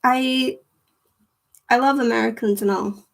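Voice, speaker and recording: A woman's voice: on the high side, fairly even intonation, a little slow, gravelly. A young Scottish woman chatting casually with her viewers, rambling and giggling, full of "like". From Scotland. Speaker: livestream host chatting with viewers. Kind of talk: casual chat.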